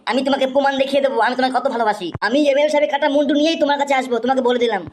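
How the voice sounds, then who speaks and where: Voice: high-pitched, steady tone, rapid-fire, slight background noise, natural voice. A performer voicing a lovestruck, gullible male suitor in a comic cartoon dub, eager and exclamatory. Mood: boastful vow.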